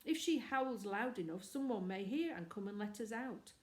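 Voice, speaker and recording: A woman's voice: mid-range voice, lively intonation, moderate pace, natural voice. A woman voicing a brusque, impatient young schoolboy in a storybook. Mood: desperate. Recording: echoey room.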